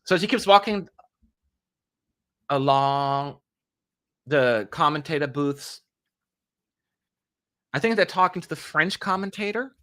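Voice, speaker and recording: A man's voice: fairly high pitch, lively intonation, slow, pauses often, pushed and bright. A young man hosting a live stream, chatting casually with playful asides and jokes. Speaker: livestream host.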